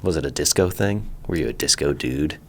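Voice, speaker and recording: A man co-hosting a casual podcast, thinking out loud and laughing easily as he guesses trivia answers. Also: a bass voice, lively intonation, gravelly, slightly rough. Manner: easygoing, thinks aloud, quick to laugh. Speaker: podcast co-host. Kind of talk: casual chat.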